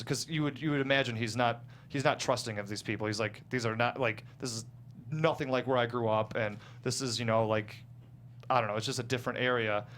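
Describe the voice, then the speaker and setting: A man talking casually as a guest screenwriter, explaining his work in a halting, self-correcting way. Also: mid-range voice, fairly bright tone. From small town in the United States. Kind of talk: casual panel discussion of own script. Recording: clear background noise and hum.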